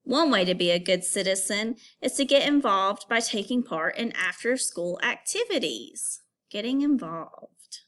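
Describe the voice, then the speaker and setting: A woman teacher reading aloud to young pupils and explaining as she goes, in an instructive, clearly phrased way. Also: mid-range voice, animated, measured pace, fairly bright tone. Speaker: elementary school teacher. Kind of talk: read-aloud reading lesson for children.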